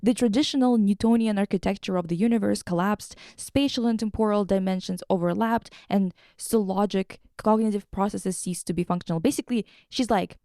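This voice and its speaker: Female podcast host, soft-edged mellow tone, close dry sound. Casual, irreverent, swears freely. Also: medium pitch, brisk, hardly any pauses, no room sound. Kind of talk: casual chat, recapping and reading quotes aloud.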